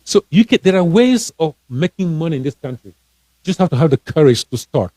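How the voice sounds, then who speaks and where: A fairly high-voiced man from Cameroon coaching listeners on money in an earnest, encouraging way, often stumbling and repeating words. His voice sounds close and dry, with no room around it. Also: big pitch swings, a little fast, dark tone, no room sound. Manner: repetitive, restarting, earnest and encouraging. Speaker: financial coach, author. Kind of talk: casual live-stream advice talk.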